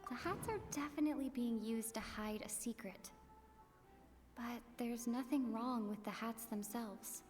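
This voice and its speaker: A woman's voice: fairly high pitch, a little slow, darkish tone, soft background music, lightly acted. A woman voicing a thoughtful, earnest young investigator in a video game. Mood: pensive.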